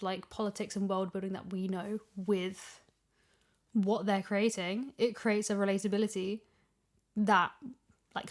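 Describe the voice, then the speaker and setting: A woman speaks as a podcast guest in a casual, conversational style. Her voice has an average pitch for a woman, and she is recorded in a home. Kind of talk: casual chat. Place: in a house.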